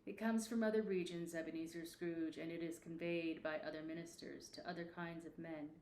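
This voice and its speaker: A woman's voice: low-pitched, fairly even intonation, a little fast, darkish tone, pitched below the performer's own voice, lightly acted. A stern, sorrowful spectre of a dead businessman acted out by a woman reader declaims in grave, formal phrases for a storybook. The voice sits in an echoing room. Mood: weary, mournful. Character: mournful, stern ghost of a dead male businessman.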